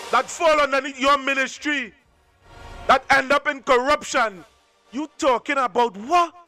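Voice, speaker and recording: A man's voice: very high voice, sharp tone. A man with a Trinidadian accent ranting about politics on a live stream, fiery and scolding, speaking in local dialect and repeating himself.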